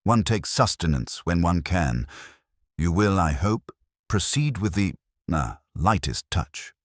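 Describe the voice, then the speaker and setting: A man's voice: sits low, big pitch swings, slow pace, dry recording, studio quality, exaggerated voice. A man voicing a pompous, evasive, genteel council chairman with roundabout politeness in an audiobook mystery. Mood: genteel, cautious. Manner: pompous, circumlocutory, hesitant politeness.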